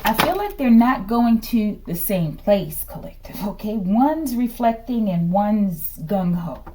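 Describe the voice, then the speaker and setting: A woman giving an improvised, informal tarot reading to an online audience, asking rhetorical questions, tagging her phrases with "okay" and dropping into hushed asides. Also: medium pitch, animated, measured pace, a little hiss or hum. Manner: repeats rhetorical questions and verbal tags like "okay". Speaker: tarot reader, host of the reading.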